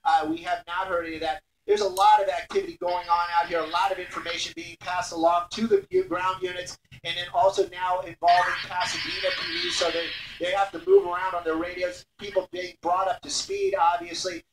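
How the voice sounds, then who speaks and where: High-pitched man reporting live over roomy link. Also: animated, brisk, vocal fry, few pauses, a crowd in the background. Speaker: helicopter news reporter. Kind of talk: live breaking-news commentary. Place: airborne news helicopter. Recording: roomy, distant airborne radio link, noisy.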